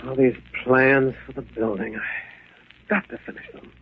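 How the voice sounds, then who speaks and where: A man's voice: mid-range voice, steady tone, unhurried, slight background noise, lightly acted. An actor playing a frightened, desperate hunted man in a 1940s radio drama, agitated and pleading. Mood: despairing. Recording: dull old 1940s radio recording.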